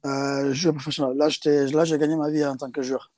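A man's voice: on the high side, flat delivery, brisk, dark tone, no echo. Hesitant South African man speaking French. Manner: hesitant, many fillers and restarts in second-language French. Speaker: interviewee, rugby player. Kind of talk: casual interview.

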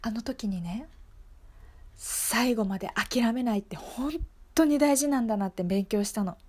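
A woman's voice: mid-range voice, darkish tone, breathy edge. A woman playing an earnest young female schoolteacher in an improvised radio monologue, telling her story in a confiding, emphatic way. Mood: reflective, earnest.

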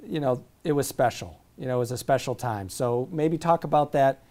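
A man's voice: medium pitch, moderate pace, darkish tone. A man interviewing a former player, asking long, rambling questions full of fillers. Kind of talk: casual interview.

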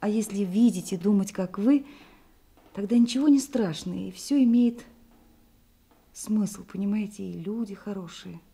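A woman's voice: medium pitch, moderate pace, vocal fry, dark tone, husky. An actress playing a sensitive, anxious woman shyly in love in a Russian radio play, impulsive and emotional. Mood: agitated, tender.

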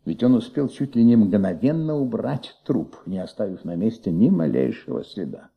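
An actor in a Soviet radio play playing a patient, shrewd middle-aged police commissaire with flu, questioning gently in a husky, creaky voice. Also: male voice, on the low side, lively intonation, normal speed, narrow, tinny audio, exaggerated voice. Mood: earnest, explaining. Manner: gentle, coaxing, paternal questioning.

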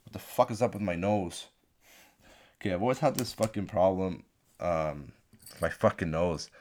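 A very deep-voiced man with a blocked, stuffy nose rants alone into a desk mic. He swears nearly every sentence and rambles from thought to thought in an excitable, casual way. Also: normal speed. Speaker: podcast host. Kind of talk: casual solo rant. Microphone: desk microphone at a laptop.

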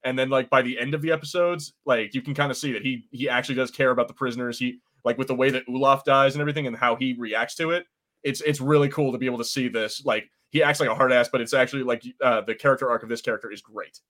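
A man's voice: medium pitch, steady tone, fast, fairly bright tone. Guy hosting a casual review podcast, jokes around a lot, swears, kinda crude. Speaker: podcast host.

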